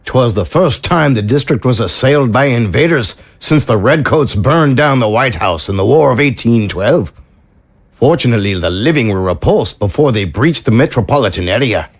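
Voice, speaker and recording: An actor playing a courteous, knowledgeable chauffeur with a husky voice and ornate, formal speech in an audio drama. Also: male voice, medium pitch, expressive, moderate pace. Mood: proper, informative.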